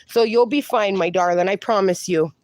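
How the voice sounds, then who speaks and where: A woman's voice: mid-range voice, normal speed, a little mellow, dry recording. A woman hosting a casual livestream, reading viewer comments aloud and answering them in a chatty, reassuring way. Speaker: livestream host.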